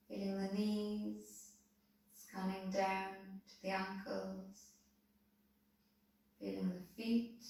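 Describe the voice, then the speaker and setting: A woman calmly leading a guided body-scan meditation, giving soothing, unhurried instructions. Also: mid-range voice, vocal fry. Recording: very echoey room, voice distant from mic.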